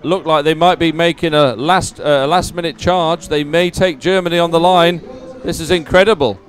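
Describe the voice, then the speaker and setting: A man's voice: on the high side, fairly even intonation, moderate pace, soft background music. A man giving live race commentary in an informal, conversational and encouraging way. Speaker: sports commentator.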